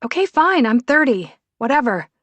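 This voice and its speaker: A woman's voice: sits high, big pitch swings, normal speed, fairly bright tone, no room sound, pitched above the performer's own voice, full character voice. A woman voicing a frazzled, self-deprecating thirty-year-old woman in audiobook dialogue, feisty and emotional. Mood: indignant, defensive.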